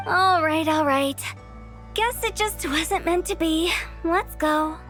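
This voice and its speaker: Young female game boss: high voice, upbeat, playful, casual phrasing, easygoing slower pace. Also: a bit bright, soft background music, full character voice. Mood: breezy. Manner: breezy, colloquial. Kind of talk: video game voice acting.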